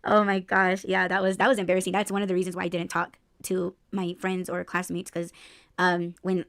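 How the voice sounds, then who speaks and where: A woman hosting a podcast in casual chat, loud in tone, laughing often and swearing freely, with little bass in her voice. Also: completely flat delivery, fairly quick, creaky, no echo, clean studio sound. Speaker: podcast host.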